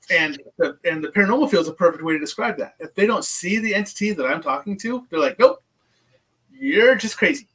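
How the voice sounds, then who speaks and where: A man's voice: on the high side, fairly bright tone, recorded from a distance, low-quality recording. A man hosting a casual interview podcast, talking hesitantly with many restarts and ums. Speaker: podcast host.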